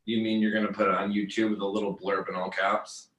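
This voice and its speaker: A man's voice: fairly low pitch, level tone, quick pace, a bit bright, reverberant, distant mic, lightly acted, poor audio. A man in a table read playing Ed, an easygoing, teasing computer repair worker who talks in casual slang. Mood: teasing, skeptical. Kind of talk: table read of a comedy script.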